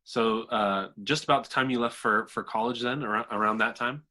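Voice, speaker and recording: A man's voice: medium pitch, steady tone, brisk, sharp tone. A man hosting a church interview show, enthusiastic and filler-heavy as he introduces and questions his guest. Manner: enthusiastic, filler-heavy, self-described fanboying. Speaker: church podcast host, pastor. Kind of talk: casual interview.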